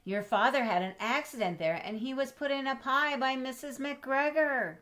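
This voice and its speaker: A woman's voice: high voice, moderate pace, fairly bright tone, voice pushed up. A woman voicing an old mother rabbit in a storybook, cautioning her young children in an instructive, motherly way. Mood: firm warning.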